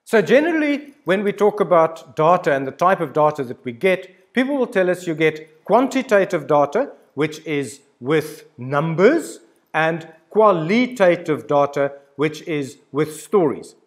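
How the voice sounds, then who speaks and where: A man's voice: a little high, sing-song, a little slow. A man in his sixties giving an informal, instructional lecture, talking directly and emphatically to the listener with storytelling examples. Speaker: university lecturer. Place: indoor studio with plain backdrop.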